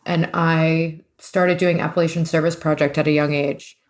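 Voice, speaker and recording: A woman from the East Coast of the United States talks as a guest in a casual interview. Her voice sits slightly low, and she is chatty and readily pokes fun at herself. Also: little pitch movement, normal speed. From US East Coast, New Jersey and New York. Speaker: interview guest, renovation business partner.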